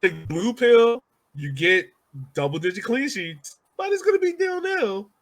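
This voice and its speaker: A man's voice: very high-pitched, sing-song, a little slow. A man hosting a casual soccer podcast, talking informally and emphatically like a devoted fan. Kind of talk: casual sports podcast chat.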